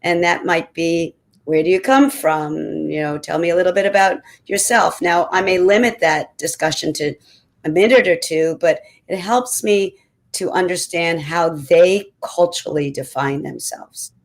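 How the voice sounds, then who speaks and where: A woman in her sixties, a doctor on a panel, talking in an energetic, emphatic way. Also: lowish voice, normal speed. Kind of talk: panel discussion answer.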